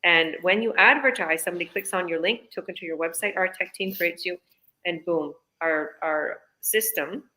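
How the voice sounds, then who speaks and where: A woman's voice: lowish voice, moderate pace, bright, forceful tone, low-quality recording. A woman business coach answering interview questions on a live stream, chatty and upbeat, often tagging her points with "right?" and "you know". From Canada.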